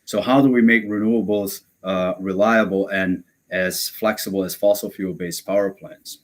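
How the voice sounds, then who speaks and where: Brazil-born male lecturer, very low voice, halting and filler-heavy. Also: steady tone. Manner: hesitant, many fillers and restarts. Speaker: guest lecturer. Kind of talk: academic webinar lecture.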